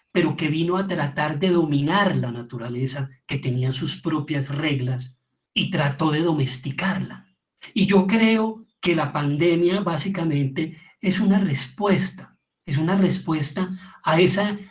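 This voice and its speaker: A middle-aged man with a Colombian accent gives an informal, reflective lecture, repeating words for emphasis as he teaches. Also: on the high side. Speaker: theatre teacher giving a lecture.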